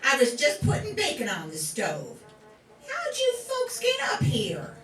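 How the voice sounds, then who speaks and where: Woman as a high, lilting country wife. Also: wildly swooping pitch, a little slow, fairly bright tone, heavily acted. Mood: welcoming, homely. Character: hospitable, chatty country wife. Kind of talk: old-time radio drama acting. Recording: roomy, distant mic, faint music behind.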